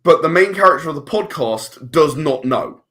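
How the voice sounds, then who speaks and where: A man's voice: a little high, lively intonation, normal speed, bright, forceful tone. A man chatting casually as a podcast guest, rambling and repeating words as he searches for his phrasing. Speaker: interview guest, filmmaker and audio drama writer.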